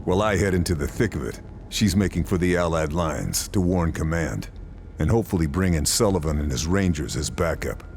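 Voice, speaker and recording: Gritty ww2 game sniper, super deep voice, terse n serious, determined briefing narration. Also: male voice, lively intonation, darkish tone, slight background noise. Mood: grim, determined. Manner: terse, grave, deliberate phrasing. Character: grim, resolute male wartime sniper operative.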